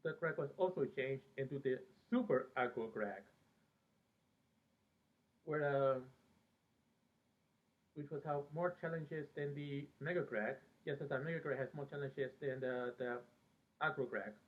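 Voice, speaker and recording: A man from Peru who later lived in America, talking casually and reminiscing in a very hesitant, halting way with frequent pauses mid-sentence. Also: medium pitch. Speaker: fan vlogger. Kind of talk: casual rambling reminiscence about a TV show. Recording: thin phone-like band-limited sound.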